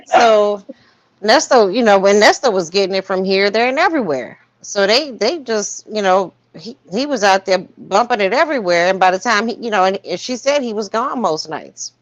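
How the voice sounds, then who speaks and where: A woman's voice: mid-range voice, expressive, moderate pace, dry recording. A woman giving casual, opinionated commentary on a livestream panel, thinking aloud and circling back over her phrases as she goes. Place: remote livestream call, dry sound. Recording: muffled lo-fi livestream audio.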